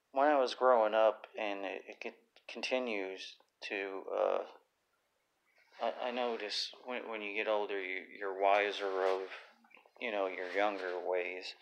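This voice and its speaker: A raspy, gravelly man talks at a slow, unhurried pace on his own stream. His voice sits a little low, and he stops often with fillers and restarts. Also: dull audio. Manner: halting, many fillers and restarts. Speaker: YouTuber. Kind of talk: casual livestream talk.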